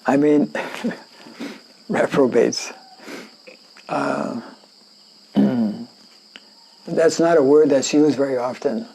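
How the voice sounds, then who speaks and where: A French Canadian man, a native French speaker, teaching a scripture class in a gravelly, dark-toned voice that sits a little high. He speaks very slowly, pausing on fillers and clearing his throat again and again as he explains the verse. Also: poor audio. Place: indoor class in a rural town.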